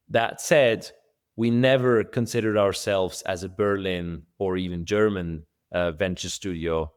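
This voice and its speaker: Middle-aged Swiss founder, low and resonant, measured interview guest. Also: male voice, smooth. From Switzerland. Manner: thoughtful, measured, with fillers and restarts. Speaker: venture studio co-founder, guest. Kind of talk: conversational podcast interview.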